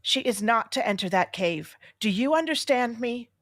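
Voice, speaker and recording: A woman's voice: on the high side, animated, normal speed, dry recording, clean studio sound, close to an everyday voice. A woman acting a cold, ruthless commander in an audio drama, clipped and imperious. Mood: cold, threatening. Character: cold, ruthless female commander giving orders.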